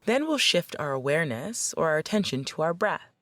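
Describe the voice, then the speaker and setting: A woman leading a guided meditation as a facilitator, speaking in a gentle, measured, instructional way. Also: low voice, big pitch swings, dry recording, clean studio sound.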